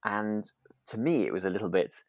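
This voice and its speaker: A man's voice: lowish voice, expressive, a little fast, a little mellow, dry recording, clean studio sound. A man co-hosting a podcast, chatting casually in a hesitant, agreeable way, often repeating words as he thinks. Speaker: podcast co-host. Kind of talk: casual discussion of a radio drama.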